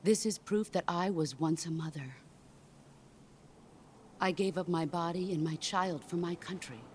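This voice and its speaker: An actress playing a stoic, battle-hardened middle-aged soldier and mentor in a game cutscene, speaking gravely and deliberately. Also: female voice, on the low side, noisy background, lightly acted. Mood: quiet, sorrowful. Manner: measured, deliberate, grave delivery. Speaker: video game character. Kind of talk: dramatic cutscene monologue. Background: orchestral music.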